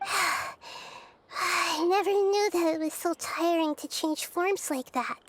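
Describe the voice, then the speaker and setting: A woman voicing a gentle, thoughtful young girl-like god who speaks quietly in a video game. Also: extremely high pitch, fairly even intonation, takes it slowly, full character voice. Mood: tired. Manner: quiet, thoughtful, soft-spoken.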